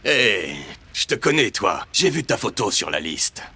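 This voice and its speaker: An actor playing a hulking, sadistic villain in a French anime dub, mocking and falsely friendly with a deep, forceful voice. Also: male voice, very animated, a little slow, gravelly, husky, heavily acted. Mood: menacing glee. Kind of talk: French-dubbed anime acting.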